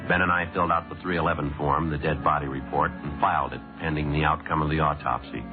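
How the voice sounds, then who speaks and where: A deadpan police detective with an extremely low voice, clipped and courteous. He sounds matter-of-fact, in the style of an old radio crime drama, on a tinny, band-limited recording. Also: quick pace, music in the background.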